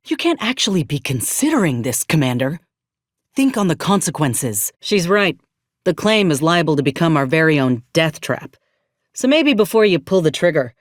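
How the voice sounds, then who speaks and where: A woman's voice: lowish voice, dry recording, studio quality, close to an everyday voice. A woman playing an earnest, plain-spoken young frontier soldier in a video game, with a blunt, folksy, principled manner. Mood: blunt, disapproving. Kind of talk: video game character voice acting.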